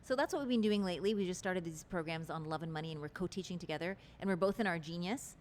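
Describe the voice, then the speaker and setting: A woman's voice: lowish voice, fast, a little mellow, slight background noise. A woman guest in an interview, talking about relationships in an articulate, expansive and earnest way. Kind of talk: interview conversation.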